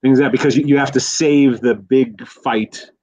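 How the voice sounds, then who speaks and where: A man's voice: medium pitch, steady tone, moderate pace, darkish tone. A man talking about films in a casual podcast discussion, rambling, with many restarts and fillers. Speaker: guest commentator. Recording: slightly dull lo-fi audio.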